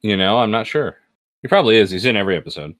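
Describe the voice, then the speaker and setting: A man with a very low voice chats loosely and trades dry jokes as a podcast co-host. The sound is close and dry, with no room around it. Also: animated, racing, a bit bright, no echo. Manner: dry, jokey, laughs often. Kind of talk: casual commentary chat.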